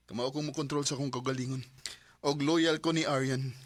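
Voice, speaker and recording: An actor playing an anguished young boyfriend in a radio drama, speaking emotively and colloquially with a Cebuano Filipino accent. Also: male voice, medium pitch, steady tone, a little fast, no echo, lightly acted. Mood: casual, defensive. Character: anguished young boyfriend torn by temptation and betrayal.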